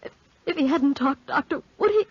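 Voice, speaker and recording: An actress playing a devoted young fiancée, anxious and emotional, in 1940s radio drama. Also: female voice, high voice, lively intonation, a little fast. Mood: distraught. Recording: dull 1940s radio recording.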